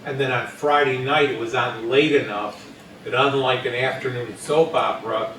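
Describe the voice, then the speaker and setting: A man in an audience asking a question in a casual, halting way. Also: medium pitch, steady tone, a bit bright, a little hiss or hum. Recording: echoey room, distant mic.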